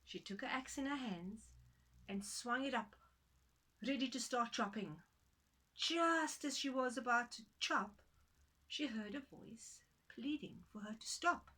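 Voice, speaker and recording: A woman's voice: a little high, a little slow, bright, forceful tone, low-quality recording. A woman storyteller narrating a folktale for children, dramatic and playful in her delivery.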